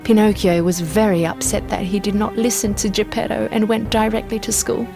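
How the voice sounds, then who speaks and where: A woman's voice: medium pitch, little pitch movement, a little fast, gravelly, over music. A woman narrating a scripted fairy tale for children.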